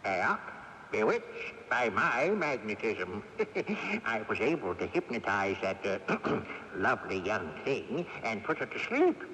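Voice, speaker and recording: A man's voice: on the low side, sing-song, slow pace, sharp tone, exaggerated voice. An actor playing a sly, stubborn old great-grandfather ghost in a theatrical radio mystery drama. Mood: smug, teasing. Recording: off-air 1980s radio tape, reverberant, hissy.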